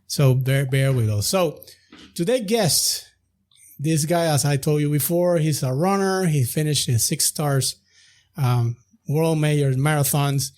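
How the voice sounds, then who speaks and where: A man with a Puerto Rican accent hosting a podcast in his second language, with a lively, sing-song delivery. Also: on the high side, unhurried. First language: Spanish. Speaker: podcast host. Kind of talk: casual podcast chat.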